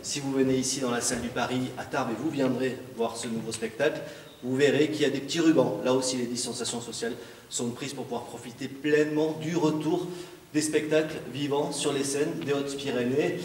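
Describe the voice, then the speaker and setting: A man's voice: mid-range voice, fairly even intonation, fairly quick, a little mellow, a little hiss or hum. A man hosting an interview in a theatre hall, chatty and joking, with frequent hesitations. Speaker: host. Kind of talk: casual presenter interview. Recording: roomy hall, voice from a distance.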